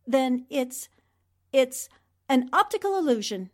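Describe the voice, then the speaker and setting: A woman's voice: sits high, very animated, takes it slowly, exaggerated voice. A woman playing a level-headed, skeptical newspaper reporter in the style of 1940s radio drama. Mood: doubtful.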